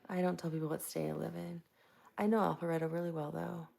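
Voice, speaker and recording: A soft-spoken woman from Tampa, Florida, chatting casually with viewers while hosting a live stream into a plugged-in microphone. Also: lowish voice, little pitch movement, quick pace, creaky. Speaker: livestream host.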